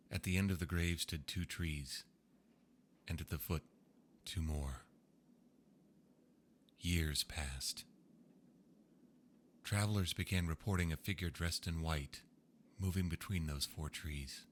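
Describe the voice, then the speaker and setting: A man reading old ghost stories aloud in a slow, hushed storytelling manner. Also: extremely low pitch, a little hiss or hum. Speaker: narrator. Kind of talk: scripted ghost story narration.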